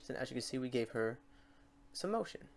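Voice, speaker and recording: A man hosting a software tutorial in a casual, unscripted way, talking to himself as he works through the steps. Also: mid-range voice, sing-song, fairly quick, vocal fry. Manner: informal, talks to himself while clicking through steps. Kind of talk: casual unscripted screen-recorded tutorial narration.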